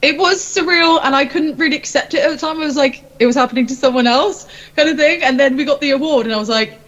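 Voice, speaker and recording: A woman's voice: sits high, fast talker, fairly bright tone, highs cut off. A woman, a rock singer-songwriter, chatting casually in an interview in an informal, rambling, self-deprecating way.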